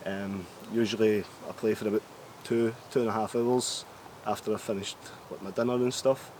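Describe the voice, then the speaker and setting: A young man talking casually about his workday, relaxed and hesitant, with lots of ums. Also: fairly low pitch, normal speed, slight background noise. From Scotland. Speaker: call centre worker describing his day. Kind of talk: casual monologue.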